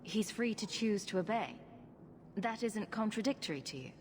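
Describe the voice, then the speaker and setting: A woman's voice: mid-range voice, lively intonation, normal speed, a little hiss or hum, natural voice. Actress as human adventurer woman, mid-range voice, dry, challenging, direct, game dialogue. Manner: wry, questioning, direct. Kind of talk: video game cutscene acting.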